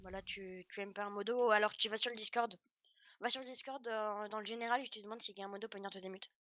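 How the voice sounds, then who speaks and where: Woman gaming w friends, talks fast, teasing, shouts quick orders. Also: mid-range voice, no room sound. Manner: lively, teasing, urgent calls. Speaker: teammate in a game. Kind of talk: casual gaming chat over voice chat.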